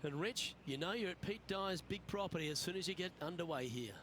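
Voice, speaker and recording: A polished TV golf announcer in a game. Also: male voice, sits high, expressive, fairly quick, fairly bright tone, a little hiss or hum. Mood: welcoming. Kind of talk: scripted broadcast commentary.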